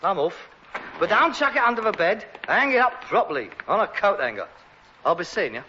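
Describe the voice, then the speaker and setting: A man's voice: sits high, very animated, fairly quick, sharp tone, full character voice. A man playing an exasperated, pretentious Cockney rag-and-bone man, a put-upon grown son in a sitcom, whining and indignant. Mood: snooty. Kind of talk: British sitcom acting. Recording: dull, noisy old sitcom recording.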